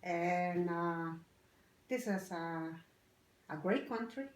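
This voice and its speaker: A woman's voice: lowish voice, takes it slowly. Salvadoran woman in her 60s, short plain answers, roomy echo. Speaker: interviewee. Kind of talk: casual family interview.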